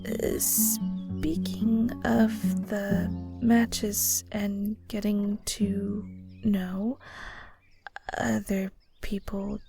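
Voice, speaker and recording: A woman playing a shy, kind ghost in an intimate audio roleplay, speaking softly and hesitantly with stammers and nervous little laughs. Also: medium pitch, little pitch movement, drawn-out words. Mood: awkward, chatty. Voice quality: soft, breathy. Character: shy, kind female ghost shade, awkward and caring. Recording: soft guitar music behind. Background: gentle guitar music.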